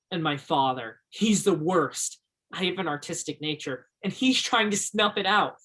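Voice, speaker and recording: An actor in a stage play as an earnest, romantic teenage high school boy with a theatrical way of talking. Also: a bit bright.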